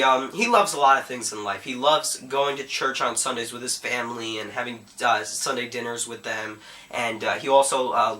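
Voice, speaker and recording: A man's voice: mid-range voice, sharp tone. A young man reviewing a movie in a casual, chatty way, full of fillers, while getting over a cold. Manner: chatty, frequent "guys" and "uh" fillers.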